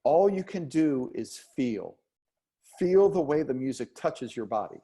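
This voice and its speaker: A man's voice: mid-range voice, big pitch swings, unhurried, warm tone. A man reading a play as a charming, patient, flirtatious dance teacher who teases and encourages his pupil. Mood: gently coaxing. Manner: teasing, encouraging. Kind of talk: play reading, romantic comedy.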